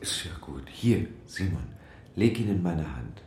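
A man playing a calm, mediating father in a German audio drama, firm when needed. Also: sits low, measured pace, slight background noise, heavily acted. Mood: soothing.

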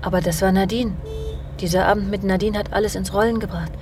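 A woman's voice: medium pitch, fairly even intonation, quick pace, noisy background, natural voice. An actress playing a wilful, emotionally raw woman who resists her female lover, speaking tersely and insistently in a German radio play. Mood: wary. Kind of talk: German radio drama dialogue. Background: music.

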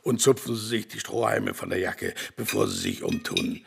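An actor playing a brash, blunt diner counterman with a gravelly, raspy voice in a radio play. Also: lowish voice, wildly swooping pitch, moderate pace, a bit bright, heavily acted. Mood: blunt, cocky.

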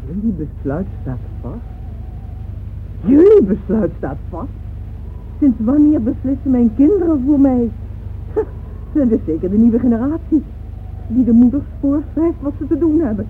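A woman's voice: on the high side, lively intonation, moderate pace, long pauses, a little hiss or hum, natural voice. An actress playing a wistful, devoted middle-aged mother in a radio drama, with a lingering, yearning delivery. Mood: indignant. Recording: old tinny band-limited radio play with music. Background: music.

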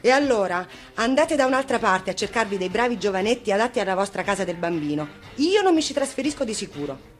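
A woman voicing a cheeky, fearless nine-year-old girl in a storybook reading, playful and defiant, quick to exclaim. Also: mid-range voice, animated, soft background music, pitched above the performer's own voice, natural voice.

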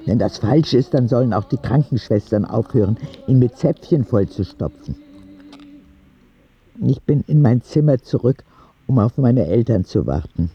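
A man's voice: medium pitch, lively intonation, moderate pace, slightly rough, highs cut off, faint music behind. A man playing a frank, cheeky ten-year-old boy who tells his story in candid, wry audio drama narration, in standard German. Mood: cheeky, matter-of-fact.

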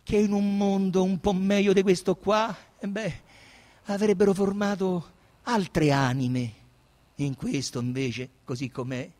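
A man's voice: high-pitched, a little slow, darkish tone. A male actor voicing an affectionate, insistent Roman woman coaxing her younger cousin, with a Roman accent, in a theatrical radio drama. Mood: wistful, tender. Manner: coaxing, emphatic.

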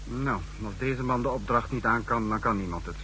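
A man playing a stern, commanding secret service chief in a 1960s radio drama, with formal, measured delivery. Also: mid-range voice, sharp tone, slight background noise, lightly acted. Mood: brooding. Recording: humming old 1960s radio recording.

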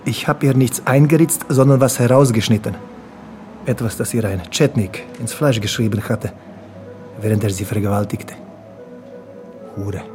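An actor playing a haunted war refugee, making a grave, halting confession in a radio drama. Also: male voice, mid-range voice, fairly even intonation, darkish tone, lightly acted. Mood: agitated, anguished. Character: haunted Bosnian war refugee confessing, former jazz trumpeter. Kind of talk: German radio drama acting. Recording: music behind the voice.

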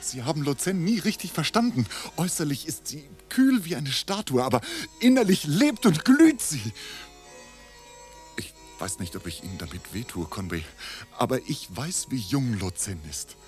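Hot-headed young Englishman, volatile pitch. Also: big pitch swings, moderate pace, soft background music. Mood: earnest, confessing. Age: young adult. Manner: agitated, emphatic, swinging between hushed and outbursts. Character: young, hot-headed, impatient, passionate Englishman, scornful and pleading. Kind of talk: radio drama acting.

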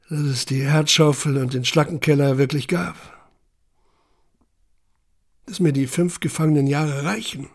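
An actor playing a haunted, self-questioning camp survivor in a literary audio monologue, intense and introspective, with a husky, gravelly voice. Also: male voice, on the high side. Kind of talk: literary first-person monologue in audio drama.

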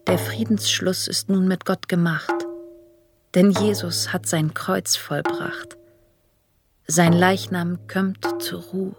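A low, very slow and breathy female voice, mourns with solemn tenderness. She recites devotional verse in a radio play, music clearly behind. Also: fairly even intonation. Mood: tender mourning. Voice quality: breathy, often whispering. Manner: solemn, reverent. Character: devout, mourning female soul, tender and pleading. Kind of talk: radio drama poetic recitation.

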